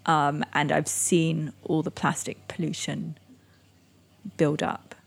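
A young woman who grew up in Indonesia, answering interview questions in a reflective way with many pauses and soft laughs. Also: low voice, little pitch movement, vocal fry. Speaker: interviewee, climate activist. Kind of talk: conversational interview.

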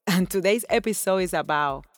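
A woman's voice: lowish voice, normal speed, dry recording, soft background music. A woman in her forties from Cuba, speaking, hosting her own podcast in an effusive, earnest way and laughing often. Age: 40s. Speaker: podcast host. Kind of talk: personal podcast monologue.

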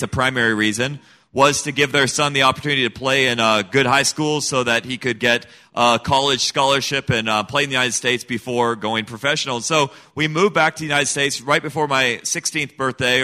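A man's voice: medium pitch, brisk, bright, forceful tone. A middle-aged man raised in Senegal, giving an earnest, emphatic personal testimony as an interview guest. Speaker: guest, missionary kid.

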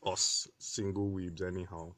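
A man with a fairly low voice chatting casually on a podcast, excitable and quick to tease, swearing often. His voice is recorded close and dry, with little room sound. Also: no room sound. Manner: excitable, swears freely, teasing. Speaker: podcast co-host. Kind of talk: casual chat.

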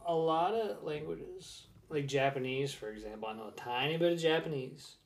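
A man co-hosting a podcast in casual chat, joking and quick to quip. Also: a little high. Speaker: podcast co-host.

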